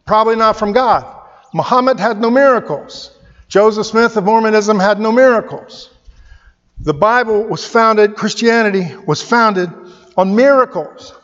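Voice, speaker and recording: A man's voice: high-pitched, fairly bright tone, long reverb tail, highs cut off. A male pastor preaching a sermon, emphatic and repetitive, firing off rhetorical questions. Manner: emphatic, rhetorical questions, repeats key phrases.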